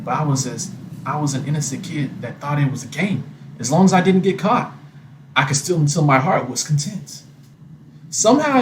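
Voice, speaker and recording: A man telling a scripted personal story as narrator, recorded in an echoey room with background noise. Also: mid-range voice. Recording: roomy echo, noisy background.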